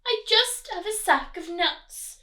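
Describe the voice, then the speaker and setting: A woman's voice: very high-pitched, expressive, slow, sharp tone, reverberant, voice pushed up, heavily acted. A woman voicing a small, timid squirrel from a storybook, pleading in a thin little voice.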